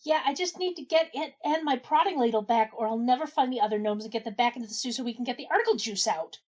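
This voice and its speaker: A woman with a very high voice performs in a comic audio skit. She sounds exasperated and animated, like someone at the end of her patience. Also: fast. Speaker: podcast regular playing herself in a comedy skit. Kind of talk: comedic audio drama acting.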